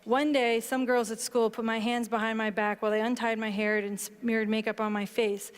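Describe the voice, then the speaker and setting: A woman in her thirties, a book author on a panel, explaining her work in a measured way and reading aloud from it on stage. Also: on the high side, level tone, clean tone. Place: large hall stage before an audience. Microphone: headset mic. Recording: long hall reverb.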